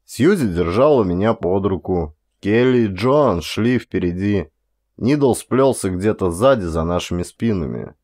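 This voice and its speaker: A middle-aged man narrates fiction in a fairly low voice. He reads in a scripted, dramatic storytelling style, as an actor does for an audiobook. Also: animated, a little slow, a little mellow.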